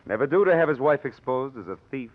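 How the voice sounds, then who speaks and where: An actor playing a menacing, smug blackmailer in an old radio drama, taunting with mock-friendly wheedling. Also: male voice, medium pitch, animated, fast talker, natural voice. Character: menacing, smug male blackmailer, mockingly sweet. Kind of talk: 1940s radio drama acting. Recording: muffled old radio broadcast recording.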